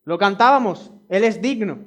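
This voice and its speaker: Male preacher, high voice, emphatic delivery, repeats his lines to the flock. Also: animated, normal speed. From Dominican Republic. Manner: emphatic, repeats key phrases; addresses the congregation directly. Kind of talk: sermon.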